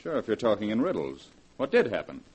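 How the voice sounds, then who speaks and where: An earnest young man, once a scientist's lab assistant, in a 1940s radio drama. His delivery rises and falls a lot, with a slightly husky edge. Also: fairly low pitch, wildly swooping pitch, fast, darkish tone, heavily acted. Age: young adult.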